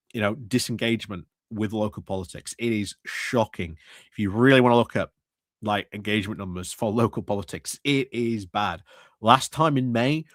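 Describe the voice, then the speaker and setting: Sardonic stream commentator. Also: male voice, lowish voice, a bit bright, no room sound, clean studio sound. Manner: rambling, repeats words, chuckles, sardonic. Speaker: stream host commenting on council meetings. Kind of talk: casual political commentary.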